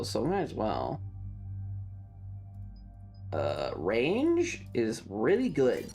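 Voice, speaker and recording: A woman's voice: deep voice, a little mellow. A woman giving casual gameplay commentary as a streamer, offhand and thinking aloud. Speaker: game streamer.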